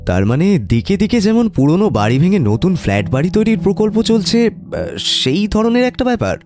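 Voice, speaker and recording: A man's voice: high-pitched, big pitch swings, fairly quick, a little mellow, faint music behind. A man playing an attentive, curious detective's companion who narrates the case in an audio drama. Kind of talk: scripted first-person story narration with dialogue.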